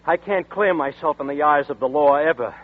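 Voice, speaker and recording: A man's voice: on the high side, a little fast, natural voice. A man playing a desperate, bitter man tricked into murder in a 1940s radio drama, talking tense and defiant. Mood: vengeful. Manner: tense, bitter, defiant, then dazed narration. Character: desperate honest man turned murderer, seeking revenge. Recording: tinny old 1940s radio broadcast.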